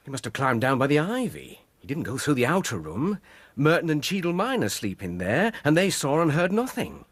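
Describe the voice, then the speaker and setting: An earnest, anxious Victorian schoolmaster in a radio play. His English voice is fairly high and very mobile in pitch, recorded dry with little room sound. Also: wildly swooping pitch, normal speed, no echo. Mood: worried. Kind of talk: radio drama acting.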